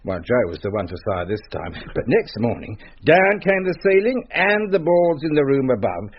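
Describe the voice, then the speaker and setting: A male actor playing a droll raconteur who tells a mock-spooky tale theatrically, moving between chuckles and hushed suspense. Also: medium pitch, sing-song, moderate pace. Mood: wry. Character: droll raconteur spinning a mock-spooky tale about his brother-in-law. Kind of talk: theatrical comic ghost-story storytelling. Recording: muffled radio recording, hiss and clicks.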